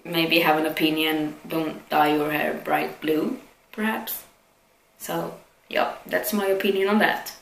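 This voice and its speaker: Creaky, slow-talking young woman. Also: lowish voice, a bit bright. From Sweden. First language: Swedish. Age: young adult. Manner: candid, self-deprecating, informal with swearing. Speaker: vlogger answering viewer questions. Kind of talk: casual chat to camera. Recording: echoey room with faint background noise.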